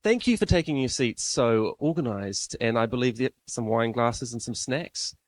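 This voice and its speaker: A man's voice: medium pitch, animated, moderate pace. A man in his thirties with a New Zealand accent, emceeing a live event in a classroom-style room, chatty and self-deprecating.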